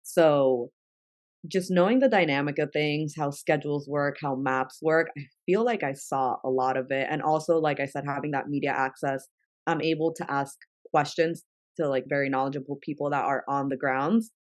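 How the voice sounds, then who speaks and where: A woman's voice: deep voice, moderate pace, no echo. Chatty young woman, dry close sound. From New York. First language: Spanish. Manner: chatty, lots of "like" fillers. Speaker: guest, travel content creator. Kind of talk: casual interview talk.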